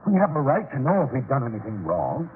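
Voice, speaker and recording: An actor playing an earnest, indignant traveling disciple, pleading formally and insistently in a 1940s radio drama. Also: male voice, medium pitch, huge pitch swings, fast, narrow, tinny audio. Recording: thin, hissy 1940s radio recording.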